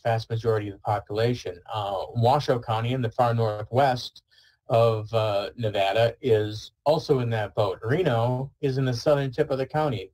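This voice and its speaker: A man's voice: medium pitch, darkish tone, poor audio. A man giving an informal webinar presentation, explaining things in a conversational way as a weather service presenter.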